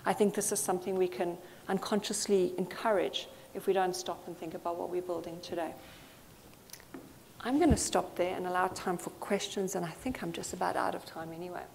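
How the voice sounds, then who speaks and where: A woman's voice: fairly low pitch, fairly even intonation, brisk, creaky, darkish tone. Woman speaking in a reverberant hall. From Zambia. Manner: earnest, thoughtful, with fillers. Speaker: conference speaker. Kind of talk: conference talk. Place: conference hall. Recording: reverberant hall with faint noise.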